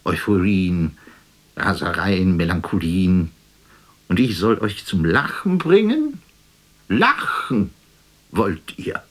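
A weary, ironic theatre actor reciting a radio monologue. The voice is breathy and rough-edged, fairly low, and moves very slowly, halting for effect and often falling to a whisper. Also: male voice, sing-song, exaggerated voice. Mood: scornful, grandiose. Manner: declamatory, halting, bitterly ironic. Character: world-weary, self-mocking male stage actor delivering a mad monologue.

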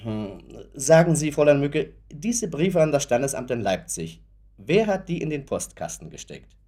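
An actor playing a sober, patronizing lawyer in a German radio play, speaking formally and in a matter-of-fact, measured way. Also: male voice, on the high side, sing-song, mellow. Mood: probing, dry. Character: sober, skeptical male lawyer, brisk and patronizing.

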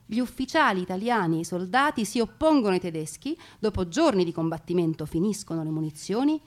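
A woman performing scripted theatrical storytelling, dramatic and deliberate with her pauses. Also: mid-range voice, lively intonation. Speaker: stage narrator and performer.

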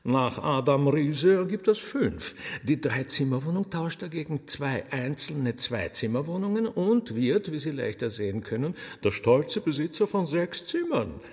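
An actor playing a sly, chatty demon magician in a radio drama, with an Austrian accent, a gravelly husky voice and theatrically courteous, rambling delivery. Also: male voice, a little high, sing-song, exaggerated voice. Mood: boastful, rambling. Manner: rambling, chuckling, theatrically courteous, drawn-out words. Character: sly, chatty demon magician, former choirmaster, showman servant. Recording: long hall reverb.

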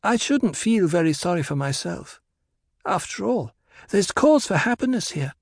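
A man's voice: high-pitched, very animated, moderate pace, gravelly, no echo, clean studio sound, voice pushed up, heavily acted. A man voicing a solemn, preternaturally knowing little girl priestess in an audiobook, with formal phrasing. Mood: consoling, earnest.

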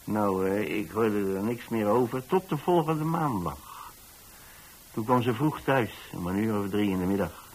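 A man's voice: fairly low pitch, lively intonation, unhurried, rough recording. An actor playing a kindly retired landlord, an older man telling a story in a hesitant, folksy, conversational way for radio drama. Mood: chatty, fond. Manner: hesitant, folksy, chatty, with small asides. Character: kindly retired landlord telling about his young lodger.

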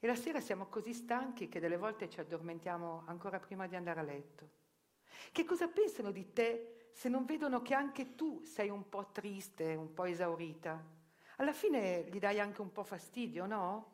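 A woman's voice: mid-range voice, animated, normal speed, a little mellow. A middle-aged woman, a journalist, performing a theatrical monologue in an ironic, self-questioning manner that rises to urgent outbursts. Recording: radio broadcast with faint music. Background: live music.

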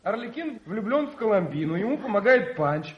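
A man's voice: high voice, big pitch swings, fairly quick, lo-fi sound, a crowd in the background. An actor playing a brash, cheeky young man who clowns as roguish Punch in a radio drama. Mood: eager, amused. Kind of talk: theatrical radio drama acting.

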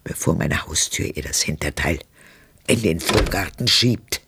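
An actress playing a sardonic, stubborn old woman in care in a literary radio drama, with a husky, breathy voice and a dry, deliberate delivery. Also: extremely low pitch, expressive, background noise, full character voice. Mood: wry, brooding. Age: older. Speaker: lead actor and narrator in a radio drama.

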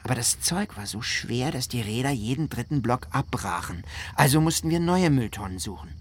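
A man's voice: medium pitch, lively intonation, moderate pace, husky. An actor playing a weary, indignant husband from New Orleans who survived a flood, telling his story as radio drama testimony. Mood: weary, recounting. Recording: faint noisy ambience behind the voice.

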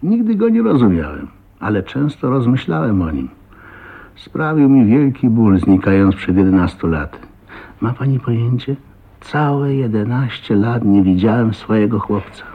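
An actor in a radio drama playing a talkative, blunt, jovial old self-made millionaire with a husky voice and a folksy manner. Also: male voice, medium pitch, big pitch swings, moderate pace. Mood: wistful, reminiscing. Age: older. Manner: garrulous, folksy, blunt, with chuckles and exclamations. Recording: muffled thin narrow-band radio recording.

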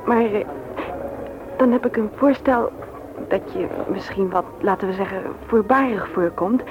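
Actress as a candid widow, muffled old radio recording. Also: female voice, medium pitch, measured pace, music in the background, lightly acted. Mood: lively, confiding. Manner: talkative, confiding, forthright. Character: candid, chatty widowed mother, frank about her feelings. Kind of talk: naturalistic radio drama acting.

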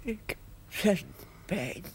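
An actress playing a sick, brooding woman in a television drama, speaking haltingly. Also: medium pitch, lively intonation, a little hiss or hum. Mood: brooding, pained.

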